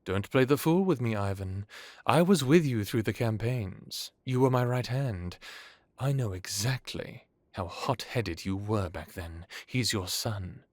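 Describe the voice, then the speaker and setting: A man acts out an ancient vampire lord in an audio drama. His low voice is courtly and measured, with theatrical pauses. He sounds wry yet commanding, recorded very dry. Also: lively intonation, no echo. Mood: grave, warning. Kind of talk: dramatic audio roleplay acting.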